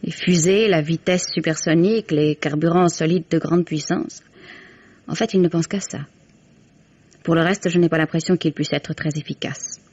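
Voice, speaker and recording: An actress playing a composed, candid young mistress in 1950s French radio drama, with measured, formal diction. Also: female voice, sits low, fairly even intonation, a little mellow, no room sound. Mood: fond, musing. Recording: dull, band-limited vintage radio recording.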